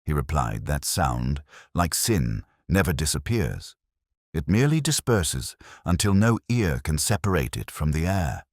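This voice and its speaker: Very deep male mystery narrator. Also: big pitch swings, dry recording, clean studio sound. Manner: measured, solemn, literary phrasing. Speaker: audiobook narrator. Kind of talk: dramatic scripted mystery narration.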